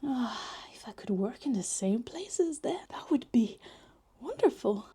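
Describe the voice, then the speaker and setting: A woman's voice: on the high side, big pitch swings, a little slow, dark tone, husky, exaggerated voice. A woman voicing a possessive, obsessive young woman who schemes and muses to herself in a roleplay ASMR monologue, sighing and slipping into half-whispers. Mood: dreamy, possessive.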